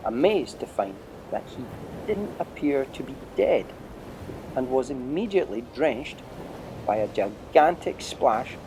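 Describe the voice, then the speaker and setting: A man's voice: medium pitch, background noise. A man narrating an adventure storybook dramatically as part of an audio play.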